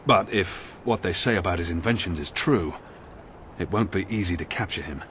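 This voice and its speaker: An actor playing a grim, dutiful military commander in a video game, speaking in an earnest, formal, authoritative way. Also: male voice, sits low, moderate pace, fairly bright tone, a little hiss or hum. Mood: reflective. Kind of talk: video game cutscene acting.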